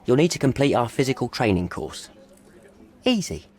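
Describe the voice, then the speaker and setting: Game role: male police clerk, actor, affable, wry, informal, very animated medium-pitched voice. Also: normal speed, lightly acted. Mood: breezy. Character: chatty, affable, put-upon desk policeman. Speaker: police officer. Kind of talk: video game voice acting.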